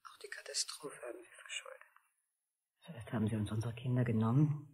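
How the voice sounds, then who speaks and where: A woman's voice: extremely low pitch, a little fast, dark tone, breathy edge, in a whisper, heavily acted. An actress playing a bitter enslaved woman who plots against her masters, in a declamatory, conspiratorial 1970s radio play style. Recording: 1970s studio radio recording.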